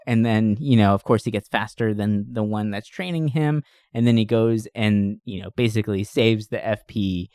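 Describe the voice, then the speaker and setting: A man's voice: medium pitch, a little mellow, clean tone, no echo, clean studio sound. A man hosting a podcast, chatting casually about films in a rambling, profanity-laden way. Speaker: podcast host. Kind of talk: casual movie discussion.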